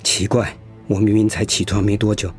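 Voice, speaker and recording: An actor playing an overworked, dutiful middle manager and husband, weary and put-upon, in a radio drama. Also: male voice, lowish voice, flat delivery, a little fast, faint music behind, close to an everyday voice. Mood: tired, dazed.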